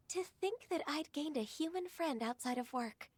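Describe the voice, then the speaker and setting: A woman voicing a gentle, dutiful, long-lived female secretary in a video game, soft, polite and earnest. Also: high-pitched, no room sound. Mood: grateful, shy.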